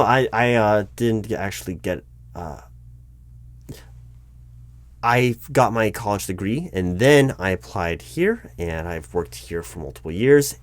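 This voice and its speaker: A man playing a polite, awkwardly tactful corporate hiring manager in a one-sided interview roleplay, speaking aloud in a hesitant, stammering, diplomatic way. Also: fairly low pitch. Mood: slightly sheepish. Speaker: recruiting manager conducting a job interview.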